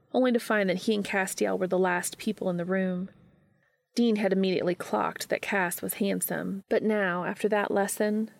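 A woman's voice: medium pitch, gravelly, dry recording. A woman reading a story aloud as scripted narration. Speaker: fan fiction reader.

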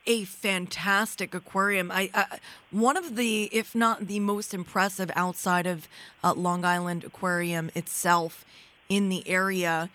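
A woman's voice: lowish voice, fairly even intonation, measured pace. Chatty woman radio host. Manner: warm, complimentary, with frequent fillers. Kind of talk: radio interview. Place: radio studio.

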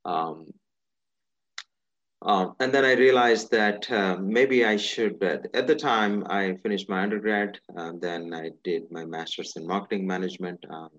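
A man from India with an Indian accent, answering interview questions about himself in halting, fragmented phrases. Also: deep voice, a bit bright, dry recording, highs cut off. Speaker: interview guest, business executive.

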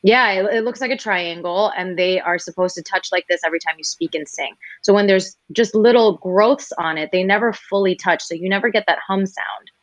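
A woman in her twenties, a singer guest telling her story in a relaxed interview, animated and acting out other people's lines as she goes. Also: mid-range voice, brisk, few pauses, dry recording, low-quality recording. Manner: animated, quoting others in lively voices.

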